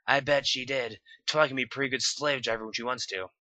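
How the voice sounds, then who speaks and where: A man voicing a friendly, folksy country farm pony mare in a high put-on drawl. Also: fairly even intonation, fast, sharp tone, no room sound, lightly acted.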